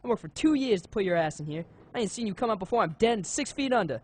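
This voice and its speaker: Guy doing a young hothead cop, talks fast, raspy edge, slangy tough talk, crime radio play. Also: high-pitched, expressive, a little mellow. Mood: defiant. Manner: brash, slangy, combative. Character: hot-headed young male police detective. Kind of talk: crime audio drama acting.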